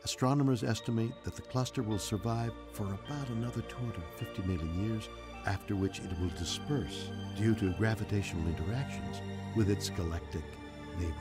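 An actor playing a composed male spaceship computer in a game, with a measured, dramatic delivery. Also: on the low side, lightly acted. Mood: measured, grand. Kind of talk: scripted game voice acting. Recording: music behind the voice.

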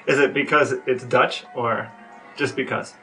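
A man's voice: medium pitch, fairly even intonation, slow pace, bright, forceful tone, soft background music. Podcast cohost guy, bit far from the mic, asks mock formal questions then chats. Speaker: podcast co-host and interviewer. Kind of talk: casual chat with mock-formal scripted questions.

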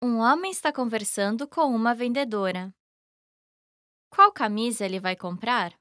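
A woman reading scripted lesson dialogue in a careful, even way. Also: on the high side, normal speed, over music. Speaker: language lesson voice actor.

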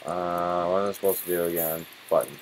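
A man's voice: low voice, flat delivery, brisk, a little hiss or hum, poor audio. A man chatting casually as a let's play host, swearing freely and laughing easily. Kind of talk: casual chat over gameplay.

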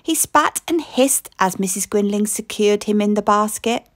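A woman reading a children's story aloud with expressive, deliberate, dramatic narration. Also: medium pitch, dry recording, clean studio sound. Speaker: storybook reader.

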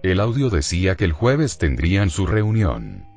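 A terse police detective voiced by a man talks in a deep, gravelly voice. The delivery is slow and deliberate, a crime drama over faint music. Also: very animated, darkish tone, heavily acted. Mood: businesslike. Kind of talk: scripted machinima crime drama dialogue.

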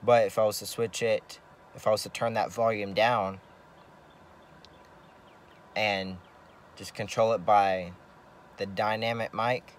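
A man giving a casual, rambling gear demonstration as a vlogger, halting often and repeating himself. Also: on the low side, flat delivery. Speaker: vlogger showing CB radio gear.

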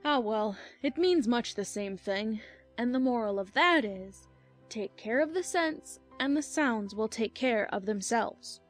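A woman's voice: fairly high pitch, expressive, unhurried, faint music behind. A woman playing a gushing, moralizing Duchess with an affected manner in a dramatized audiobook. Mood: smugly moralizing.

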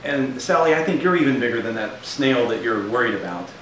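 A man in his thirties, a pastor, gently reassuring a puppet in a children's church skit. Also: medium pitch, lively intonation, a little fast. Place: studio with beach backdrop. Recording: roomy echo, distant mic, faint hiss.

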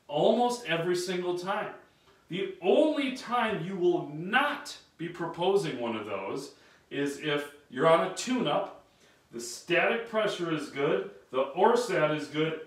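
A man's voice: a little high, fairly bright tone. A man in his thirties giving an emphatic, direct training talk at a whiteboard in a small carpeted room. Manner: emphatic, direct, gestures while standing. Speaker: sales and service trainer. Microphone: camera a few feet away. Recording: echoey room, camera mic at distance.

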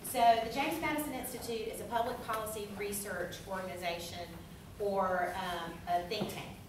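A middle-aged woman with a Southern accent addresses a room in a loose, friendly way, pausing on fillers. She is heard from far off through a camera, the classroom ringing with echo and a steady hiss behind her. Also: medium pitch. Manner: friendly, chatty, many fillers. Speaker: think tank representative. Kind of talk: informal welcome speech. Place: university classroom.

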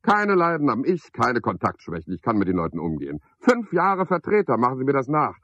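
A man's voice: mid-range voice, wildly swooping pitch, fairly quick, fairly bright tone, no echo, heavily acted. An actor playing a self-important, touchy chairman of a mushroom club in a radio play, talking with cajoling pomposity. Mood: defensive, boastful. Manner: pompous, cajoling, one-sided conversation. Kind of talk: 1970s German radio play acting. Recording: dull, band-limited 1970s radio recording.

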